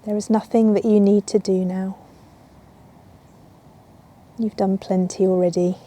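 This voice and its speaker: Very mellow, slow-speaking woman guiding relaxation. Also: mid-range voice, little pitch movement. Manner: soothing, unhurried, with long pauses. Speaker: yoga teacher. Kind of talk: guided yoga nidra relaxation. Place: outdoors in a garden. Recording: outdoor ambience with birdsong. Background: birds and garden ambience.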